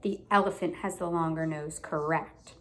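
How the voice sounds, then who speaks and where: A woman's voice: low voice, fairly even intonation, a bit bright. A young adult woman reading a picture book aloud like a teacher, with emphatic phrasing and questions for a young child. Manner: deliberate, emphatic storybook phrasing, prompting with questions. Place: indoor room at home.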